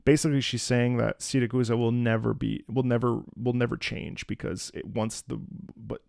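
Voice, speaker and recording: Gravelly-voiced man chatting casually. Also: medium pitch, flat delivery, normal speed, a little mellow, dry recording, studio quality. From United States. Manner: chatty, laughs often, talks through half-formed thoughts. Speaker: opera singer reacting to game music. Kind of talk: casual reaction commentary.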